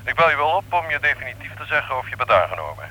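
Radio drama phone caller: polite, flattering club treasurer, very forceful bright tone, lively intonation. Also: male voice, mid-range voice, rapid-fire, rough recording. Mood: courteous, flattering. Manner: polite, deferential, chummy. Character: courteous, flattering male club treasurer on the telephone. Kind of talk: radio play acting.

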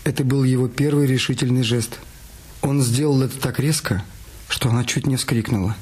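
A man's voice: mid-range voice, fairly even intonation, moderate pace, slightly rough. A man reading scripted literary narration in a radio play. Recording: loud music under the voice. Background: loud music.

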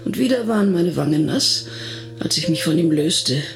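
A man with a gravelly voice narrating a radio drama in the first person, reminiscing in a conversational way. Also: on the high side, lively intonation, normal speed, over music.

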